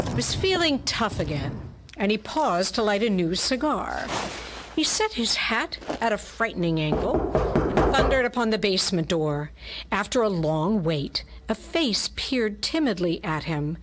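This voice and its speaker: A woman's voice: medium pitch, background noise. A woman narrating a pulp detective story as a dramatic reading.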